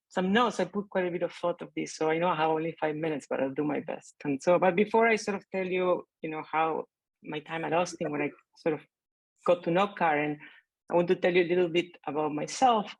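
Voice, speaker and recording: A woman's voice: on the low side, fairly quick, no echo, poor audio. A middle-aged woman from Argentina, speaking as a mathematician on a panel over a video call, conversational and hesitant with many fillers. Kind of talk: remote panel remarks.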